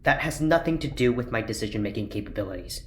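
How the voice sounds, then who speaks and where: Very low-voiced, stiff scientist. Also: female voice, lively intonation, fast talker, slight background noise. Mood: defensive, strained. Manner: terse, stiff, defensive. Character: earnest, literal-minded, strained research scientist on a spaceship. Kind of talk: audio drama acting. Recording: roomy, echoey sound, music underneath. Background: music.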